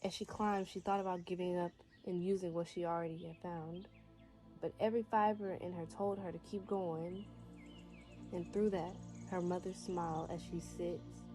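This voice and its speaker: A woman reading a scripted fairy tale as its storyteller. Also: lowish voice. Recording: faint music bed under voice.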